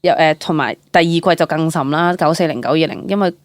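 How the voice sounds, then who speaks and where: A young woman with a Hong Kong accent chatting casually as an interview guest, animated and mixing English words into her speech. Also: low-pitched, fast talker, dry recording. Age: young adult. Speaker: guest, freelance stage and screen actor.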